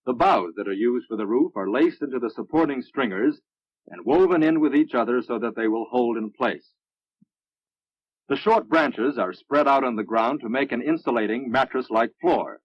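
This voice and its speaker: An American man narrates a military training film with measured, instructive delivery. His voice is a bit husky and sits at a middling pitch. The sound is dry and dull, like a vintage film soundtrack. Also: animated, no echo.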